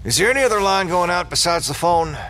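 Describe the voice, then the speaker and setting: A man playing a steady, earnest small-town sheriff in an audio drama. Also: high-pitched, quick pace, slight background noise. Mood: tense, urgent. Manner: earnest, practical.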